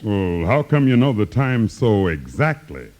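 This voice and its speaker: Folksy porter, swooping intonation. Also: male voice, fairly low pitch, big pitch swings, a little slow, darkish tone, faint music behind. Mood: curious. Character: easygoing, curious male train porter. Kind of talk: 1950s radio comedy acting. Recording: hissy old radio recording.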